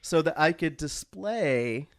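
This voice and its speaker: Animated man in dry-sounding close banter. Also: on the high side, slow pace, no echo. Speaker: podcast co-host. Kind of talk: casual comedic group banter.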